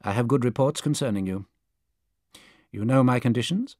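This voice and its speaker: A male reader acts out a precise English gentleman in a storybook. He speaks in a clipped, formal, coolly unemotional way, with an English accent and a dry, close sound. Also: medium pitch, normal speed, dry recording, natural voice. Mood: cool, exacting.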